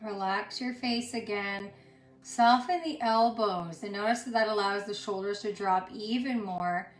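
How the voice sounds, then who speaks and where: A woman teaching yoga and guided meditation in a soothing, unhurried way, pausing between short phrases. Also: medium pitch, fairly even intonation. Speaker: yoga instructor. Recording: roomy echo with music underneath. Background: music.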